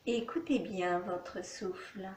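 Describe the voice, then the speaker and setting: A woman teaching yoga nidra, giving calm, soothing guided relaxation instructions with long pauses in an echoey room. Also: mid-range voice, a little hiss or hum.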